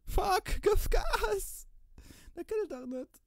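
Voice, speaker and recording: A man with a Luxembourgish accent giving casual gameplay commentary, excitable and repeating words in quick bursts. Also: very high-pitched, no room sound, clean studio sound. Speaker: gamer commentating own play.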